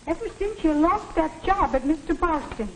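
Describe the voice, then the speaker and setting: A woman's voice: extremely high pitch, lively intonation, normal speed, a little hiss or hum, rough recording. A woman playing a worried, fussing middle-aged mother in a 1950s educational film, anxious and plaintive, on a vintage soundtrack. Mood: anxious, fretting. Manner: anxious, plaintive, scolding gently.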